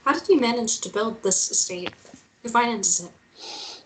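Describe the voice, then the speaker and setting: A woman's voice: on the high side, expressive, unhurried, rough recording. A woman playing a sceptical young woman who asks direct, probing questions in a script table read. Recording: noticeable background noise.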